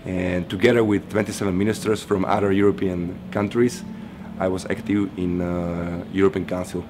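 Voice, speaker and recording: A young man from Slovakia, a management student, answering interview questions in a steady, measured way. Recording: noisy background rumble.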